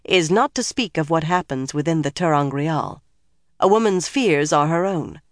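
A woman narrator voicing a solemn, motherly but secretive senior sorceress in an audiobook, speaking in hushed, measured, firm tones. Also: sits low, lively intonation, dry recording, clean studio sound. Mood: gentle, guarded.

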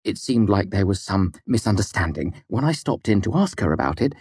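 An anxious, well-meaning young woman played by a male audiobook reader, in a lifted pitch. She sounds earnest and eager to smooth things over. The sound is dry. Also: big pitch swings, darkish tone, no room sound. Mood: hurried, placating. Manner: hurried, pleading. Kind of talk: audiobook character dialogue.

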